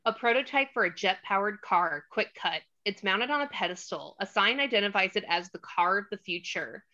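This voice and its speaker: A woman narrator reads stage directions from a script in a bright, crisp-edged voice of medium pitch. The recording is slightly dull and lo-fi. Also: expressive, moderate pace.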